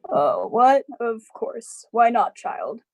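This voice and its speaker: A woman's voice: on the high side, wildly swooping pitch, heavily acted. A woman playing a lonely, sad uncle in a live radio drama, hesitant and evasive. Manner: hesitant, halting.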